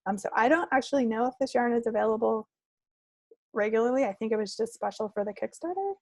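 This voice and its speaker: A woman's voice: fairly high pitch, quick pace, a little mellow, dry recording. A woman chatting casually as co-host of a hobby podcast. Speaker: co-host of a knitting video podcast. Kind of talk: casual chat.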